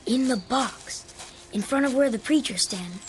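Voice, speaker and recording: A woman's voice: on the high side, moderate pace, a little hiss or hum, lightly acted. A woman voicing a bossy, scornful country child in a radio drama. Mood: bossy, sneaky. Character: bossy, scornful country kid skipping church.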